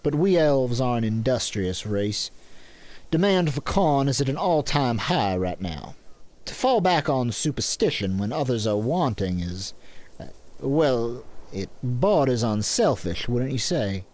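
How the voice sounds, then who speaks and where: Haughty elf landowner, swooping delivery. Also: male voice, mid-range voice, big pitch swings, measured pace, a little mellow. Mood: smugly polite. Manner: pompous, formally polite. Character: haughty, smooth-talking male elf landowner, entitled and condescending. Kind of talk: fantasy audio drama acting.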